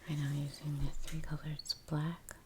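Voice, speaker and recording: A woman speaking in a soft, breathy near-whisper, murmuring an unhurried ASMR commentary as she paints. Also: deep voice, completely flat delivery, slight background noise. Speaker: ASMR creator narrating her painting. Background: soft brush and click sounds.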